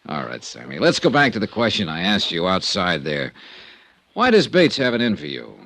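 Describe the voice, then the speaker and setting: An actor playing a wry, probing American insurance investigator who also narrates, in a mid-century radio noir style. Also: male voice, fairly low pitch, wildly swooping pitch, a little slow, gravelly, fairly bright tone, no echo, heavily acted. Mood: probing, cool. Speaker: lead detective and narrator.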